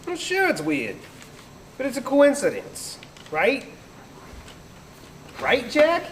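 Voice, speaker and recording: A man playing a dismissive skeptic in a radio play, high-voiced and very lively in pitch. The live stage recording is echoey and noisy. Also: slow pace, heavily acted. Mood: skeptical. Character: dismissive, skeptical young pal brushing off eerie coincidences. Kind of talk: stage radio-drama acting.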